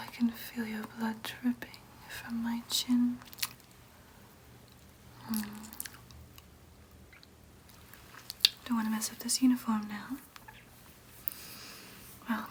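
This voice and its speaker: A breathy, whispering vampire nurse acted by a woman for an ASMR roleplay. She is gentle and soothing yet clinical, as if talking a patient through a routine procedure. Her delivery stays very even, with a faint wash of noise underneath. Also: on the high side, natural voice. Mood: pleased, attentive. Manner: soothing, clinical, hesitant asides.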